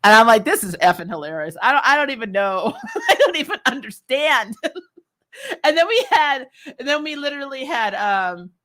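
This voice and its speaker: A woman hosting a recap in a casual, chatty, animated way, with informal slangy phrasing. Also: high-pitched, moderate pace. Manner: animated, laughs often while talking. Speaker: host of a TV show recap series. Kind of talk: casual, chatty episode review.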